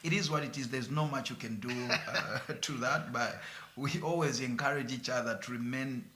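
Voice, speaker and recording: A man's voice: mid-range voice, normal speed, bright, forceful tone, roomy sound, a little hiss or hum. A man with a Zambian accent, a radio presenter answering interview questions earnestly with some hesitation. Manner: earnest, mixes in local phrases, some hesitation.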